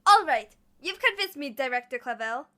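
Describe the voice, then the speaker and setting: A woman playing an eager, excitable young schoolgirl adventurer in a fan dub. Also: sits high, moderate pace. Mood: excited. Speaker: student character.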